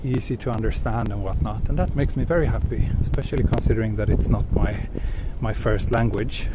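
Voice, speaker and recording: A Swedish man hosting a live walking stream outdoors, reading viewer comments and answering them in a soft-spoken, hesitant way with many fillers. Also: fairly low pitch, a little fast. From Sweden. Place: outdoors on quiet city streets, walking. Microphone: handheld gimbal camera with small recorder. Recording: street and traffic noise behind.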